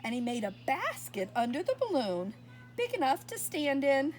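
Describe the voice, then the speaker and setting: High, lively woman reading a storybook. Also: normal speed. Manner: expressive, asks listeners questions. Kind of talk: reading a picture book aloud to young children.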